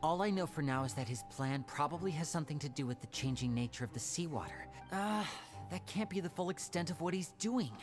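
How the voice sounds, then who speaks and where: Actor doing a smart young guy, calm and articulate, anime game dialogue with music behind. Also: medium pitch, natural voice. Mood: thoughtful. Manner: measured, articulate, analytical. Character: composed, clever young male stage magician, protective brother.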